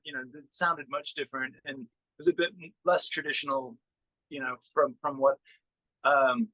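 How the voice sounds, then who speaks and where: A man talks casually as an interview guest, thinking aloud in a halting, searching way. His voice sits a little high and has a bright, forward edge. The sound is close and dry, as a musician explains his own work. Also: dry recording, poor audio.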